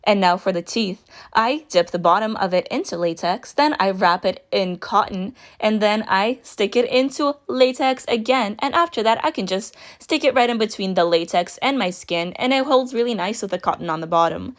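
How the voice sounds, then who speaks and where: A young woman with a raspy voice, narrating a makeup tutorial in a chatty voice-over with storytelling asides. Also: medium pitch, animated, normal speed, hardly any pauses. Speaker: makeup vlogger.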